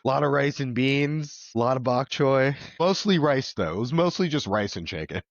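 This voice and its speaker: A man telling his life story as a podcast guest, reflective, with many pauses, ums and soft laughs. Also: medium pitch, animated, no echo, lo-fi sound. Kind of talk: candid personal conversation.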